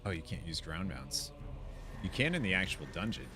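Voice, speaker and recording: Low, bright, animated male game streamer. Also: moderate pace, vocal fry, slight background noise. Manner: animated, informal, reacts off the cuff. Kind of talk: casual livestream gameplay commentary.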